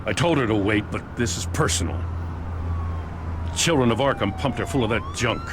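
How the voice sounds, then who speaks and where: Brief: actor doing earnest tired commissioner, middle-aged, animated delivery. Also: male voice, mid-range voice, moderate pace, lightly acted. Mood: weary. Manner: weary, earnest. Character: weary middle-aged male police commissioner. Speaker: police commissioner. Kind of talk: video game voice acting. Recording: game audio with background noise.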